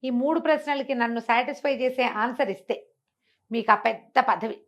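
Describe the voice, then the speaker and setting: A woman's voice: fairly high pitch, moderate pace, fairly bright tone, lightly acted. Woman playing a courteous storybook sultan, mostly everyday voice. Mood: courteous, grand. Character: Sultan, a courteous male ruler posing questions.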